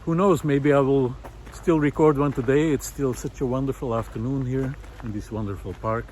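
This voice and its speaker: A man speaking as a vlogger in a reflective, unscripted monologue outdoors, with earnest, halting, hesitant phrasing. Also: medium pitch, fairly even intonation, a little mellow, rough recording. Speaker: vlogger, former visual artist. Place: outdoors in a park. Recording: outdoor ambience with clear traffic noise.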